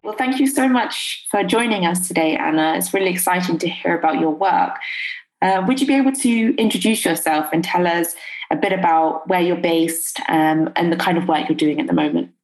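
Female podcast host, lower register, measured interview delivery. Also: poor audio.

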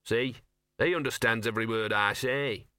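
Guy doing a scruffy talking dog from a storybook, streetwise n grumbly, sardonic slangy talk, bright dry sound. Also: mid-range voice, very animated, normal speed, no room sound. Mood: despondent, muttering.

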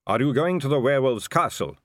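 A man's voice: mid-range voice, lively intonation, brisk, no echo, clean studio sound, voice pushed up, natural voice. A man voicing a nervous, yielding guard captain who speaks hesitantly and respectfully, in a storybook reading. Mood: earnest, anxious.

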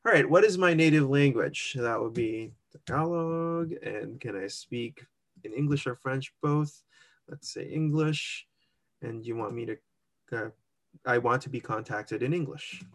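A man's voice: mid-range voice, unhurried. A chatty man in the middle of his range talks through a form step by step and thinks aloud as he goes. His tone stays casual and easygoing, and he laughs lightly at his own slips. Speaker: co-host walking through an online immigration form. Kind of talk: casual screen-share walkthrough.

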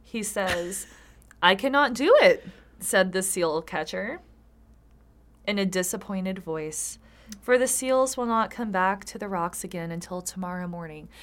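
A woman's voice: mid-range voice, a little slow, a bit bright. A tipsy woman co-hosting a casual comedy podcast, reading folktales aloud with sweary, sarcastic asides.

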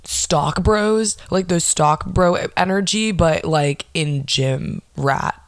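Young woman podcaster, low voice, sarcastic swearing rant, dry close mic. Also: takes it slowly, no echo. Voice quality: low voice, not nasal. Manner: sarcastic, swears a lot, acts out mocking quotes. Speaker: podcast host. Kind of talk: casual ranting monologue.

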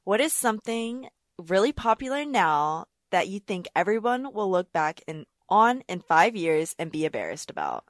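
A woman's voice: medium pitch, very animated, normal speed, no echo, clean studio sound. A teenage girl hosting a student interview podcast, easygoing and casual. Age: teens. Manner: easygoing, brief affirmations.

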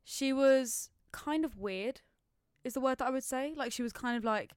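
A woman's voice: high voice, moderate pace, smooth, no echo, studio quality. A young adult British woman chatting casually as a podcast co-host, telling anecdotes with slangy, sweary, acted-out quotes.

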